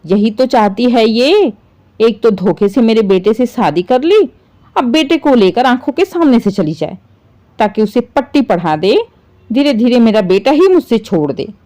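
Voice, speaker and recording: A woman's voice: expressive, normal speed, warm tone, natural voice. A woman voicing a bitter, possessive middle-aged mother-in-law in a dramatic story, scolding and complaining. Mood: indignant. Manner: shrill indignant complaining, sarcastic.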